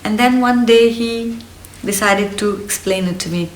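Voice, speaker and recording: A woman in her thirties from India with an Indian accent and Hindi as her first language, talking in a chirpy, energetic and earnest way for a personal vlog. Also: on the high side, a little slow, slight background noise. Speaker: vlogger. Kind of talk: personal get-to-know-me vlog. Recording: roomy, slightly distant mic.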